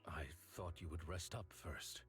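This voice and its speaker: An actor playing a stern, dutiful aide in a video game, speaking in a formal, measured way. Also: male voice, low-pitched, fairly even intonation, a bit bright, faint music behind. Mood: stern, resolute. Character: stern, dutiful male aide serving a mayor.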